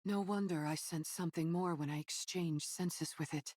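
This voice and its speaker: Woman as a low-voiced, wry game heroine. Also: fairly even intonation, moderate pace, darkish tone, dry recording, studio quality, natural voice. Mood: thoughtful. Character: composed, playfully amused young female fighter. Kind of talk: English game cutscene dub acting.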